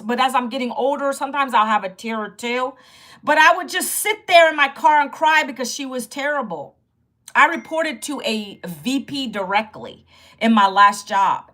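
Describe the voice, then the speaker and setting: A woman's voice: sits high, pushed and bright. A woman in her fifties from Virginia, hosting a casual livestream and chatting directly with her viewers about losing her job. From Virginia, United States. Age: 50s. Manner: chatty and direct, greets and addresses viewers often. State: sore back, fearing loss of voice. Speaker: livestream host.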